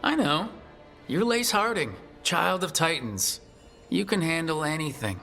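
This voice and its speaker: A man's voice: a little high, expressive, a little slow, gravelly, pushed and bright, full character voice. A man playing a kind, earnest hero who leads a team in a fantasy game, with a reassuring, supportive manner. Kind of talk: fantasy video game acting. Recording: game dialogue with music behind.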